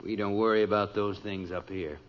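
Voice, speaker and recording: A man playing a genial, unhurried lakeside stranger in a 1950s radio comedy. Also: fairly low pitch, fairly even intonation, lightly acted. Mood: genial, sly. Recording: muffled, noisy old-time radio recording.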